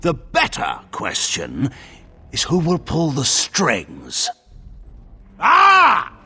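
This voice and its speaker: An actor playing a proud, aging warlord regent in a fantasy game, declamatory and scornful. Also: male voice, on the high side, huge pitch swings, very slow, sharp tone, slightly rough, exaggerated voice. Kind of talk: theatrical fantasy game acting.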